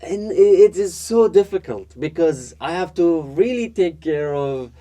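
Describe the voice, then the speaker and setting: A man from Iraq, a podcast guest chatting casually, talking with many fillers and restarts and growing animated as he tells stories. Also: on the high side, unhurried. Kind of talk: casual interview chat.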